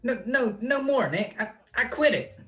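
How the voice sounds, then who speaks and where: Woman acting a high, stammering, cowardly stool pigeon. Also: animated, moderate pace, fairly bright tone, faint music behind. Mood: nervous, evasive. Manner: stammering, pleading, slangy. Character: nervous, cowardly male underworld stool pigeon. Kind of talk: old radio crime drama acting. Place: recorded at home over a video call.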